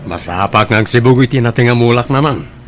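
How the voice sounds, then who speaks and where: A man's voice: mid-range voice, fast talker, slight background noise, close to an everyday voice. A man with an Ilocano accent playing a carefree, womanizing young son and brother in an audio drama. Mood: nonchalant, evasive.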